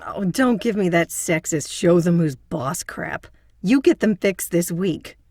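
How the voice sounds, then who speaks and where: A woman's voice: lowish voice, animated, measured pace, a little mellow, dry recording, studio quality. A woman playing an anxious but assertive feminist psychotherapist in an audio drama, with an earnest manner. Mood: scolding.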